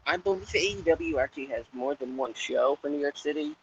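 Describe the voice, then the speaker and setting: A man's voice: on the high side, fairly quick, slight background noise. A man co-hosting a casual wrestling podcast, dry and joking, often restarting his sentences. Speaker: podcast co-host. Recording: dull lo-fi podcast audio.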